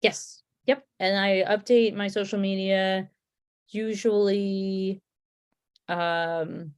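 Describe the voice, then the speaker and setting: A woman's voice: mid-range voice, steady tone, takes it slowly, clean tone. A woman with a Connecticut accent, a guest chatting casually and rambling with restarts. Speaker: podcast guest, beer comic artist. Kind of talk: casual chat.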